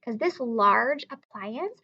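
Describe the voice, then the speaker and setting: High-voiced woman teaching children, muffled sound. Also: lively intonation, takes it slowly, pushed and bright. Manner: encouraging, explanatory, addresses young listeners with simple questions. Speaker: elementary school teacher. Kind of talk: read-aloud lesson for children with asides and questions.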